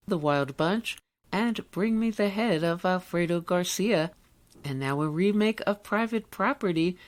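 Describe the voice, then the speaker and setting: A woman presenting an arts radio show, reading scripted introductions. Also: lowish voice. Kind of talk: scripted narration.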